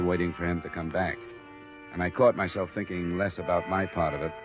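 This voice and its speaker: A man's voice: sits low, lightly acted. A man acting a tough but fair insurance investigator and narrator in a 1950s radio detective drama, terse and matter-of-fact with an American accent. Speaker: lead actor and narrator. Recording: dull restored old radio recording, music.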